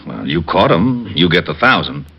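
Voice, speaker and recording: An actor playing a gruff, loyal police lieutenant in 1940s radio style. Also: male voice, low voice, big pitch swings, moderate pace, heavily acted. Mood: easygoing. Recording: dull old radio recording.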